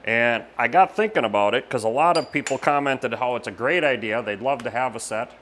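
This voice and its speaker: Guy talking casually about his shop, plain spoken, pauses a lot, mid pitch, some noise behind him. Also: fairly bright tone. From United States. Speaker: machine shop owner and video host. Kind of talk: casual talk to camera, shop update.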